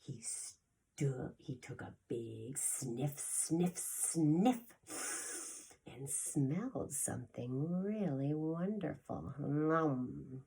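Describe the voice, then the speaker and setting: A woman preschool teacher reading a story aloud to young children, expressive and deliberate, with a breathy voice that often drops toward a whisper. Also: sits low, rough recording. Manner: addresses children directly, expressive with gasps and sound effects.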